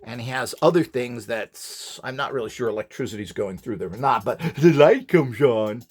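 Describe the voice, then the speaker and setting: A man's voice: medium pitch, animated, moderate pace, darkish tone, husky. A man giving an informal explanatory tutorial, rambling and opinionated as he talks. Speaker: instructor and device builder.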